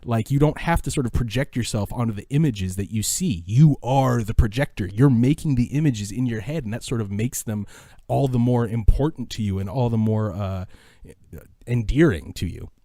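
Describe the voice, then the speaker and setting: A man's voice: mid-range voice, normal speed, mellow, dry recording, clean studio sound. A middle-aged American man giving reflective, enthusiastic answers as a podcast guest, speaking earnestly and with emphasis. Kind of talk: reflective, enthusiastic interview talk.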